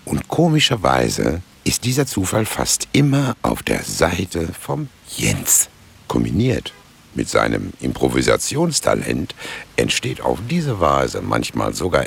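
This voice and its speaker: Gravelly, very deep wry narrator. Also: male voice, wildly swooping pitch, slow, fairly bright tone, a little hiss or hum, full character voice. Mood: wry, smug. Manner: colloquial, musing, wry. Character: sly folksy male narrator with smug wry humour. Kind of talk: radio play narration.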